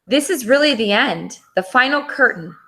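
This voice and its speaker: A woman voicing an excitable, dramatic male cat in a children's storybook. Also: on the high side, moderate pace, pitched above the performer's own voice. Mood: despairing.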